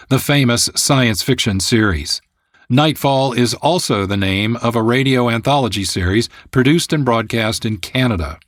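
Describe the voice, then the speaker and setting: A man presents a radio program as its host, reading from a script in a measured, formal way. His voice is medium in pitch and recorded close, with a dry sound. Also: no echo. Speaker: producer and host.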